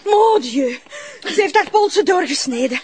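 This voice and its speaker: An actress playing a devout, authoritative convent nun with a husky voice, solemn and commanding. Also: female voice, extremely high pitch, lively intonation, unhurried. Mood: horrified, urgent. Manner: solemn, commanding, slipping into French. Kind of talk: audio drama acting.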